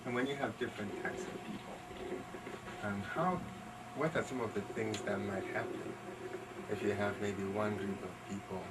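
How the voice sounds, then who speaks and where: A man talking reflectively and informally to an audience at a live event, pausing as he forms his thoughts. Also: on the low side, fairly even intonation. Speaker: pianist and discussion leader. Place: live event in a library room. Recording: distant, very noisy room recording.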